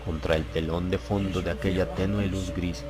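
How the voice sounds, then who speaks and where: Deep-voiced man narrating horror. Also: level tone. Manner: solemn, measured, dramatic. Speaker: audiobook narrator. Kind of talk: dramatized scripted horror narration. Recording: loud music behind the voice.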